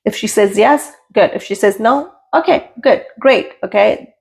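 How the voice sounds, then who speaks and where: A woman in her thirties with a noticeably gravelly, creaky voice at a middle pitch. She speaks as a podcast guest, earnest and emphatic, circling back to repeat her points. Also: measured pace. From India, raised in London. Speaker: guest, registered nurse. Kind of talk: casual podcast interview.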